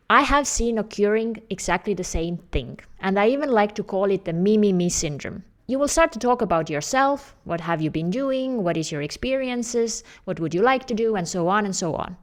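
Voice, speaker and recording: A woman in her thirties with an Estonian accent, a job search coach talking straight to camera in an earnest, explanatory way. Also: mid-range voice. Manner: earnest, explanatory, with non-native phrasing. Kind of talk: direct-to-camera advice video.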